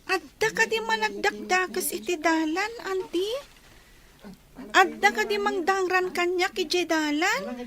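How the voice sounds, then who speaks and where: A small, timid child in a radio drama. The voice is extremely high and questioning, with a clear bustle of people behind it. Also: female voice, a little slow, crowd noise. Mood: timid, curious.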